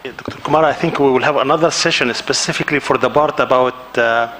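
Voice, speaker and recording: A man's voice: mid-range voice, fast, fairly bright tone. A man moderating a university panel, keeping it brief and joking lightly.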